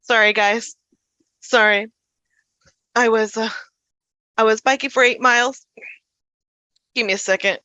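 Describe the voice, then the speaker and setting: A woman's voice: fairly high pitch, steady tone, unhurried, long pauses, pushed and bright, no room sound, highs cut off. A woman acting an earnest, anxious teenage boy in a dramatic table read over a video call. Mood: breathless, alarmed.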